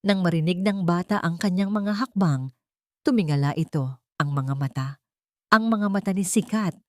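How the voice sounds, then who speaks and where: A woman reading a scripted dramatic story as narrator, with measured, deliberate phrasing and pauses for effect. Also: fairly low pitch, no room sound, studio quality.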